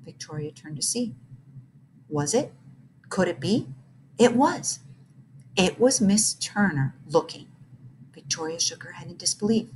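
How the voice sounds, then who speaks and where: A woman narrating a children's storybook aloud in an expressive, dramatic way. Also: fairly low pitch, a little slow, pauses often, a little hiss or hum.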